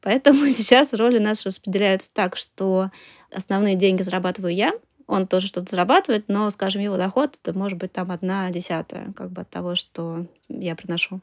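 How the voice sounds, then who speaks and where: A woman's voice: medium pitch, steady tone, brisk, no echo, studio quality. A woman in her thirties speaking as a podcast guest in a casual interview, informal and hedging as she thinks aloud. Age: 30s.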